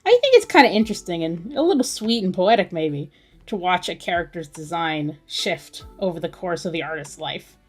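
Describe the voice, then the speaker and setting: A woman's voice: lowish voice, very animated, moderate pace, a little mellow, husky. A woman chatting casually as she draws on a livestream, thinking aloud in a musing way. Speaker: artist hosting a drawing livestream. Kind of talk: casual chat while drawing. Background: music.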